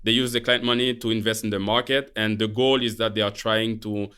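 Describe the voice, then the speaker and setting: A man from Haiti with a Haitian French accent, calmly explaining his career as a guest on a finance podcast. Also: lowish voice, a little fast, clear, resonant tone. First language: Haitian Creole. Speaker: guest, portfolio manager. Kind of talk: podcast interview.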